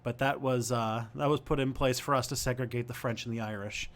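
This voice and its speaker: A young man from Canada chats through viewer questions on a livestream, pausing and restarting as he thinks out loud. His voice sits at an ordinary middle pitch. He sounds casual and unscripted. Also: fairly even intonation. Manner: thinking aloud, hesitant with many ums and restarts.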